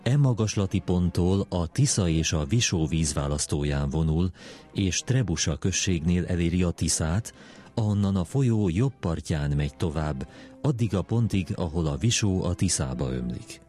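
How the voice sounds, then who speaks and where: Very deep, dark-voiced man reading formally. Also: lively intonation, faint music behind. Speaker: document reader in a history programme. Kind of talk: formal read-aloud of treaty articles.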